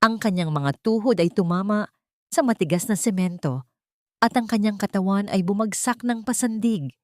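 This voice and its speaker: A woman's voice: medium pitch, lively intonation, normal speed, a little mellow, no room sound, studio quality. A woman reading a scripted, dramatic story as an audio drama narrator.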